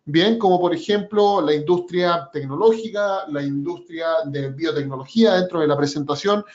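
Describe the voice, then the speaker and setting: A man presenting an educational trading webinar, explaining things step by step in a measured way, with frequent pauses and "eh" fillers. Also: fairly high pitch, lo-fi sound.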